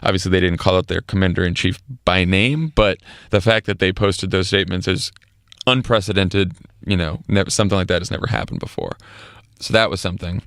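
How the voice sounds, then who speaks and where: A man's voice: low-pitched, fairly quick, vocal fry, a bit bright, no room sound, studio quality. An American man co-hosting a podcast, giving casual political commentary in an emphatic, conversational way. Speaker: podcast co-host.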